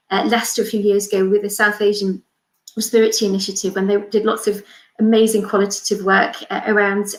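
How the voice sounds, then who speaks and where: A woman's voice: mid-range voice, roomy sound, low-quality recording. A Welsh woman in her forties, a respiratory consultant, talking earnestly on a panel with many fillers and restarts. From Wales. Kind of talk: panel talk.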